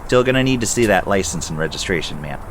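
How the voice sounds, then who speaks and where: Male actor as traffic cop: clipped, formal, lively mid pitch, clear outdoor noise behind. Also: fast talker. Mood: businesslike. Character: polite, businesslike male police officer at a traffic stop. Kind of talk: audio drama acting.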